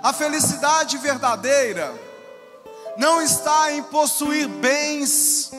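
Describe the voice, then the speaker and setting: A man's voice: extremely high pitch, takes it slowly. A Brazilian man preaching to a congregation as a lay missionary, exhorting his listeners. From Congonhas, Brazil. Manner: exhortative, addressing and leading the congregation. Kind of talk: sermon to a congregation. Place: church, amplified in a large hall. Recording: reverberant church sound with music behind.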